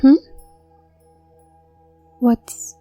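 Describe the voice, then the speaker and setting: A woman's voice: extremely slow, mellow, soft background music. A woman voicing a shy, flustered young student witch who stutters nervously and trails off in an intimate audio roleplay. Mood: admiring, flustered. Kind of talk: intimate audio roleplay, soft-spoken acting.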